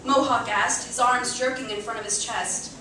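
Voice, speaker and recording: A woman's voice: a little high, normal speed. A woman reading a short story aloud dramatically and expressively at a live literary event. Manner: expressive, acting out chants and dialogue. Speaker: reader at a live literary show. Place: indoor cafe venue reading before an audience. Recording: echoey live venue, distant mic, faint noise.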